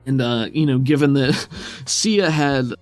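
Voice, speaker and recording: A man's voice: mid-range voice, animated, a little slow, darkish tone, soft background music. A man running a tabletop game as game master, narrating and explaining rulings in a casual, conversational way.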